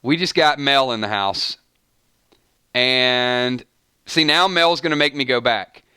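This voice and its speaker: Bright, crisp-voiced man hosting a live stream. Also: medium pitch, slow pace, no echo. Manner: animated, breaks off mid-sentence with repeated "hold on" and "wait a minute". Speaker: fan channel host. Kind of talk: live-stream commentary reading chat.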